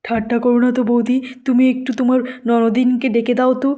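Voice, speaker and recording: A woman plays a young married man in a cartoon family drama. He sounds dutiful, concerned and troubled, in a fairly high voice. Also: level tone, fast talker, warm tone, natural voice. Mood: dejected. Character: earnest, troubled young husband and son.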